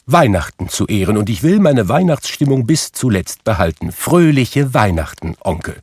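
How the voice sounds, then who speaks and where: A man voicing a cheerful, warm-hearted young nephew in a storybook reading, talking brightly and eagerly. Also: fairly low pitch, sing-song, normal speed, husky, no echo, pitched above the performer's own voice. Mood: earnest.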